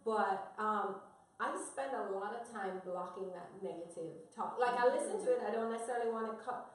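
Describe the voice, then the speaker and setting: A woman's voice: mid-range voice, brisk, far from the mic. A woman hosting a live panel, speaking casually with fillers and asking the guests questions. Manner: casual, uses fillers, affirms often. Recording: echoey room, off-mic.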